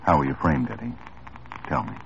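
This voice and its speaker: A deep, fast-talking hard-nosed detective. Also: male voice, vocal fry, background noise. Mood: probing. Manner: quick, probing interrogation. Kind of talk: 1950s radio drama acting. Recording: muffled old radio broadcast.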